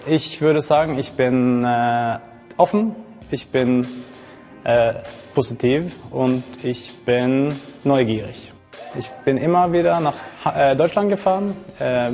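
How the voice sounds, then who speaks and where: A man's voice: mid-range voice, slow, warm tone. A man in his late twenties with a Norwegian accent, introducing himself casually. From Norway. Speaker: contestant. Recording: long reverb, music bed underneath.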